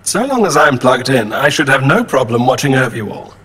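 Husky, formal robot coordinator. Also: male voice, medium pitch, sing-song, bright, forceful tone, heavily acted. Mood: formal, detached. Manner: formal, measured, detached. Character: robot tactical coordinator, formal, matter-of-fact male machine. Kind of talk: audio drama acting.

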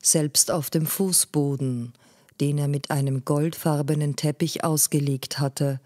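A woman narrating a literary story in a measured way, phrase by phrase. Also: low-pitched, a little mellow, no echo, clean studio sound. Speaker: audiobook narrator.

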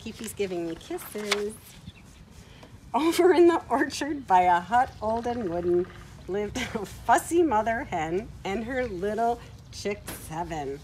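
A middle-aged woman reading a picture book aloud to children in an expressive way, recorded outdoors. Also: mid-range voice. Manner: expressive, acting out short quoted words. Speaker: children's story time reader. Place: outdoors on a farm, beside horses. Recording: outdoor ambient noise from camera mic.

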